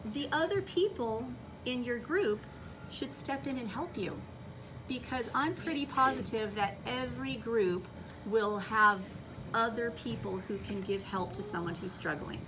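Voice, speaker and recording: A woman's voice: on the high side, unhurried. A woman schoolteacher giving instructions to children in a classroom, projecting her voice and checking understanding with questions. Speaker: elementary school teacher. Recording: distant mic, noisy classroom.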